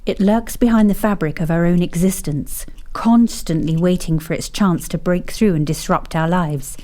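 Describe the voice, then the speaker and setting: A poised, otherworldly Englishwoman played by an actress in an audio drama, speaking low and softly mellow. Her delivery is measured, formal and grave. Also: natural voice. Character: poised, otherworldly female investigator of time.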